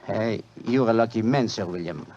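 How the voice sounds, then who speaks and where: A man's voice: on the low side, moderate pace, a little mellow, close to an everyday voice. An actor playing an obliging, worldly French policeman with a French accent, in 1940s radio drama style. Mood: genial, teasing. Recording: muffled, noisy old radio recording.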